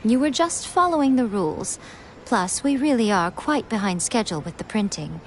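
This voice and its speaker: A woman's voice: a little high, animated, normal speed, slight background noise, lightly acted. A woman playing a sly, lilting lady publisher. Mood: coy, reassuring. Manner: playful, coy, self-assured. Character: sly, teasing lady publisher and shrine guardian. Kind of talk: video game voice acting.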